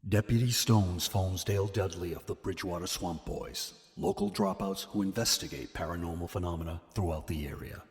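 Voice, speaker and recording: A man's voice: deep voice, fairly quick, hardly any pauses. A man giving ominous, theatrical scripted narration for a horror drama. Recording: long echoing reverb, music behind.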